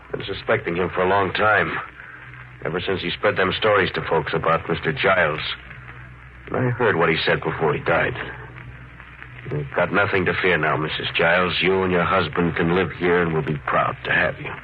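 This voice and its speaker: A man's voice: deep voice, lightly acted. An actor playing a plain-spoken small-town policeman in a 1950s radio thriller, folksy, reassuring and rustic in his phrasing. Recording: muffled old radio, faint noise.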